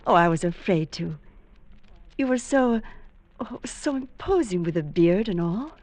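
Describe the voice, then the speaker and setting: An actress playing a lonely, coy bookshop spinster in an old-time radio drama, gushing politely. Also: female voice, lowish voice, lively intonation, measured pace, a little mellow, no room sound.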